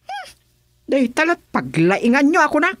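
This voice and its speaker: A woman's voice: high voice, huge pitch swings, moderate pace, fairly bright tone, dry recording, studio quality, heavily acted. A woman with an Ilocano accent playing a nagging, scolding wife in a radio drama.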